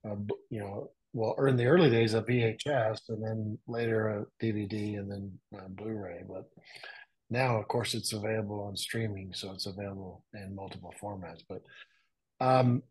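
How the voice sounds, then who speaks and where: A man in his sixties talking casually on a podcast as a guest, in a measured, halting and reflective way. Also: fairly low pitch, steady tone, husky, poor audio. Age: 60s. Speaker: podcast guest and father of the host.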